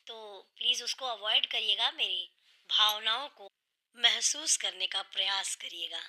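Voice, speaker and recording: A woman with a sore, hoarse throat, earnestly explaining a devotional hymn line by line and patiently restating each meaning. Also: mid-range voice, a little slow, bright, forceful tone, poor audio. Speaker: devotional singer and channel host.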